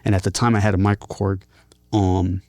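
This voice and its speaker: A man telling personal stories casually as an interview guest, in a halting, earnest way with repeated words. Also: sits low, flat delivery, darkish tone, no echo, clean studio sound. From San Diego, California. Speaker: interview guest, talkbox musician and rapper.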